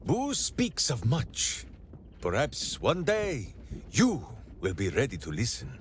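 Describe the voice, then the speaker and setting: A man's voice: mid-range voice, huge pitch swings, takes it slowly, a little hiss or hum. A man playing a big-hearted, simple warrior ranger in a video game, bombastic and oddly formal. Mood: friendly, boastful. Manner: bombastic, refers to himself in third person, oddly formal phrasing. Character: big-hearted, simple heroic ranger warrior who talks through his hamster. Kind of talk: theatrical video game character acting. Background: background music.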